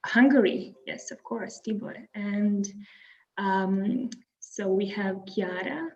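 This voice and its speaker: A woman's voice: medium pitch, takes it slowly. A young woman from Croatia moderating an online webinar, friendly and hesitant with many fillers. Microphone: video call. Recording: muffled video call audio.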